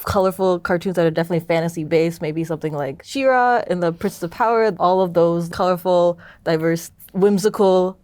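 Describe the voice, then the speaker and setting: A woman with a slightly low voice, recorded dry and close, speaks as a co-creator in a casual interview. She explains in loose, running lists sprinkled with "like". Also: brisk, few pauses, a little mellow, no echo. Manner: loose, listing way of explaining with frequent "like". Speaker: co-creator of an audio drama.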